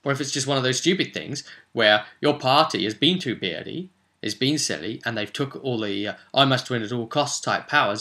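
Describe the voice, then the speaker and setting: Bright-voiced man, emphatic explainer. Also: medium pitch. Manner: emphatic, rhetorical, chatty asides. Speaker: tabletop role-playing video presenter. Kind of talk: enthusiastic explainer talking to viewers.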